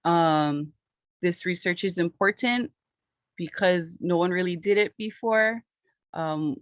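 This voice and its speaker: A woman from Hawaii presents her botany research as a lecture with slides. Her voice sits on the lower side and she speaks in a steady, earnest way. The sound is dry and close, with no room echo.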